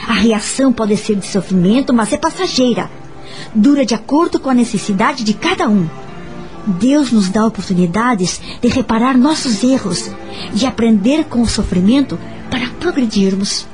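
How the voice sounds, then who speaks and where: Calm, high-voiced Brazilian actress as a kindly counsellor. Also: female voice, normal speed, faint music behind, lightly acted. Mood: calm, instructive. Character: calm, kind married woman, patient spiritual counsellor. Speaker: radio drama actress. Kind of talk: radio soap opera acting.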